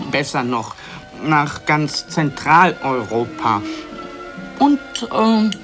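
A man playing a pensive, self-absorbed gentleman diner in an absurdist radio play, speaking hesitantly and deliberately. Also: a little high, very animated, music in the background, exaggerated voice. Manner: hesitant, deliberate, ponderous with pauses.

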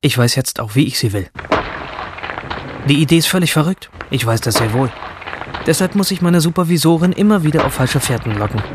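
A man's voice: on the high side, lively intonation, fairly quick, noisy background, close to an everyday voice. An actor playing an obsessive, rebellious male hacker from a virtual future world, narrating a radio drama in the first person. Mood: fixated, secretive. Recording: music under the voice.

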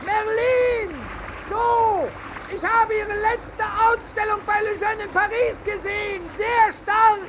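An actor playing a detached, unhelpful observer calling out matter-of-factly in a 1950s German radio drama. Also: male voice, very high voice, steady tone, slow pace. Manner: calling out loudly, matter-of-fact. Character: detached, unhelpful male neutral observer standing in a river. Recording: noisy old radio recording, water sounds. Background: splashing river water.

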